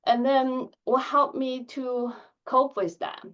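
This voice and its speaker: A woman in her thirties who stutters, giving a candid conference talk with blocks and filled pauses. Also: high-pitched, flat delivery, muffled recording. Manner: soft-spoken, candid, measured. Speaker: conference speaker. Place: conference hall.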